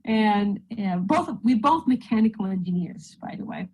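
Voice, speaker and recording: A woman with a Swedish accent talks her audience through a presentation on a video call, friendly and joking as she goes. Her voice sits at an everyday middle pitch, with a faint hiss of noise behind it. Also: animated, moderate pace, darkish tone, low-quality recording. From Sweden. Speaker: guest presenter. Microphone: video call microphone.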